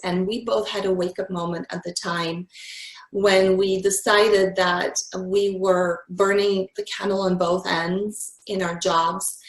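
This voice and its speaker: A woman in her forties from Bulgaria, answering interview questions in a reflective way with many pauses. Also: medium pitch, reverberant, low-quality recording. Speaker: guest.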